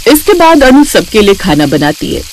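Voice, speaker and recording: A woman reading scripted narration for a story as an expressive storyteller. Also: medium pitch, moderate pace, slight background noise.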